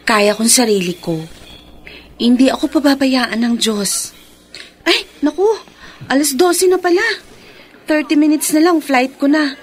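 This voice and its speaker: High-voiced, earnest young Filipina. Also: female voice, unhurried, pauses often, slight background noise. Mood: affectionate. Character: young Filipina overseas worker, affectionate, devout, anxious. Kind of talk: radio drama acting.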